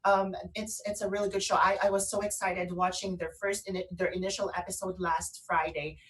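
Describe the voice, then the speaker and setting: A woman with a Filipino accent co-hosting an online radio show, chatting casually and promotionally in an excitable, gushing way. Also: medium pitch, a little fast, distant mic, rough recording. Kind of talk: casual promotional chat between co-hosts.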